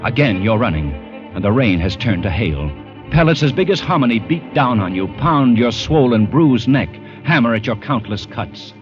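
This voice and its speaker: An actor playing an intense storyteller, narrating an old-time radio drama theatrically in an American accent. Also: male voice, medium pitch, big pitch swings, normal speed, over loud music, lightly acted. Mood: urgent, ominous. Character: intense, ominous second-person storyteller. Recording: muffled vintage radio recording. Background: dramatic orchestral score.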